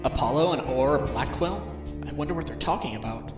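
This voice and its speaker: A man playing an earnest, wry defense lawyer with a measured manner in a video game fandub. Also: medium pitch, expressive, lightly acted. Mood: thoughtful. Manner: measured, earnest, dry asides. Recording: echoey room, music behind.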